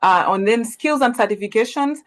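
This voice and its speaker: A woman's voice: on the high side, expressive, brisk. A young woman from Uganda, giving an earnest webinar presentation full of ums and self-corrections.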